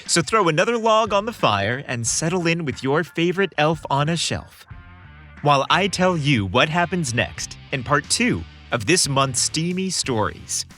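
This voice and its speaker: A man hosting a podcast and narrating a scripted story in a theatrical, expressive way. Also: on the high side, moderate pace, faint music behind.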